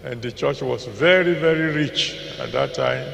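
Slow gravelly older Nigerian pastor. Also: male voice, mid-range voice, huge pitch swings, a bit bright. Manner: slow and deliberate, repeats scripture references, decrees blessings. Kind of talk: sermon. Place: large packed auditorium. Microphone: handheld mic. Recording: echoey hall with long reverb.

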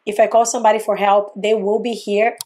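A woman in her thirties from Brazil with a Brazilian Portuguese accent, telling a personal story on her channel in an animated, expressive way, seated close to a webcam at home. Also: medium pitch, fairly quick. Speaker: YouTuber. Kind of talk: story time vlog. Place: indoors, small home room. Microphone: webcam framing, seated close to camera.

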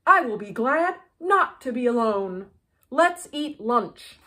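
Storybook Frog, played by a woman: very high voice, gentle, contented, reassuring. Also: very animated, takes it slowly, heavily acted. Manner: bright-spirited, reassuring. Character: happy, gentle male frog, contented loyal friend.

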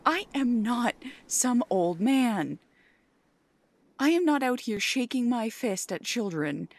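Slow-talking, playful girlfriend acted by a woman. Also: on the high side, very animated, no echo, full character voice. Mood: playful grumbling. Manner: teasing, mock-complaining, drawn-out emphasis. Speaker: ASMR roleplay creator. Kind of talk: ASMR girlfriend roleplay, intimate casual chat.

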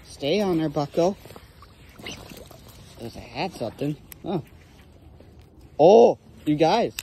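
Excitable young man outdoors on a dock. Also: on the high side, slow, a little hiss or hum. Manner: excitable, exclaims over finds. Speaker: magnet fishing vlogger. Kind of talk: excited casual commentary. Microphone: handheld phone or body camera.

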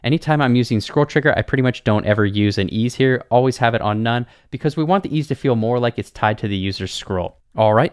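A young man in a small home studio, calmly explaining a web design and coding tutorial step by step into a boom mic. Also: clear, resonant tone, no echo. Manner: relaxed, explanatory, talks through each step. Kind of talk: screen-recorded coding tutorial. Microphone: studio mic on boom arm.

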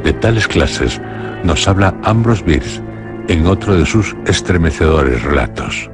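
A man narrates radio horror with solemn menace. His voice is extremely low and slow, and loud music plays behind him. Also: animated. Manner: solemn, ominous, measured.

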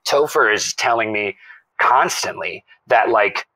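An earnest, self-important actor as a male caller on a comic radio drama. His voice is on the low side but bright and forward. He speaks casually and haltingly, taking himself very seriously, in a dry recording. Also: dry recording, poor audio. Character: self-serious, devoted male sign spinner calling radio show. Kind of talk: semi-improvised audio drama, casual phone-in chat.

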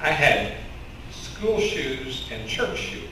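A middle-aged man with a Kentucky accent preaches a relaxed, story-driven sermon at an unhurried pace. His voice sits a little high. The sound is caught from a distance in a very echoey sanctuary. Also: noisy background. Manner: conversational storytelling preacher, gestures with open hands. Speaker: pastor. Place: church sanctuary in front of a curtain. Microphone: stand mic with headset mic, filmed from the congregation.

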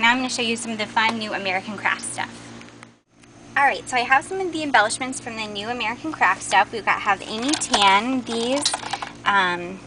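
A woman's voice: a little high, background noise. A woman giving a casual, unscripted product walkthrough as host, chatty and informal, on a handheld camera in a warehouse. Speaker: host showing new craft store products. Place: large indoor warehouse aisles. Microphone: handheld camera's built-in mic.

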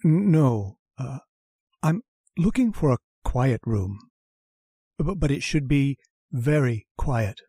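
A secretive, weary male lodger who stammers and trails off in a soft, hushed way, as a man acts him out. His voice is dark and breathy, recorded dry, like an old-time radio horror play. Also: medium pitch, animated, slow, no room sound, exaggerated voice. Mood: withdrawn. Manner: hesitant and stammering, soft-spoken. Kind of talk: radio drama acting.

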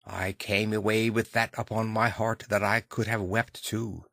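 A man's voice: on the low side, level tone, unhurried, gravelly, fairly bright tone. A man doing an anxious, deferential old-time butler. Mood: haunted. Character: anxious, deferential Victorian male butler, plain-spoken and superstitious.

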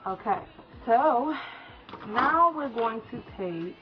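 A woman's voice: fairly high pitch, lively intonation, slow. A young woman with a slight cold chatting casually to viewers through a hair tutorial in a small room. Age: young adult. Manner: chatty, addresses viewers as guys. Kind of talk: casual how-to talk. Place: small indoor room by a folding screen. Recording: dull lo-fi sound with music behind.

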